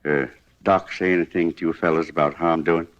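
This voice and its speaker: A man's voice: low-pitched, fairly even intonation, brisk, natural voice. An American man playing a proud, injured former ballplayer who talks in a folksy, plainspoken ramble, in 1950s radio style. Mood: worried, resigned. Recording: muffled 1950s radio recording.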